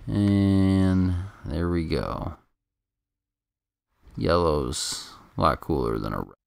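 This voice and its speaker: A man giving casual, laid-back video game commentary in a halting, chatty way, close to the microphone. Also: deep voice, creaky, no echo. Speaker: gameplay commentator. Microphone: microphone held very close. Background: game music.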